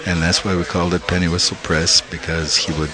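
Older man, Italy-born, deep, halting with lots of "uh", crowd in the background. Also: little pitch movement. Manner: halting, with many fillers and restarts. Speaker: guest, small press publisher. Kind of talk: interview conversation.

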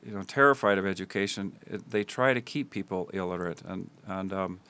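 A man's voice: low voice, brisk, pushed and bright. A man who grew up in Tanzania, answering interview questions earnestly with frequent ums and uhs. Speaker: guest, school builder.

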